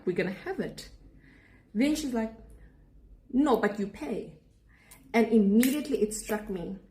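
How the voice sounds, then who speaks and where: A woman's voice: medium pitch, dark tone, low-quality recording. Sarcastic South African woman venting. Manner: sarcastic, indignant, talks straight to viewers. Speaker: student studying abroad. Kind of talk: direct-to-camera vlog rant.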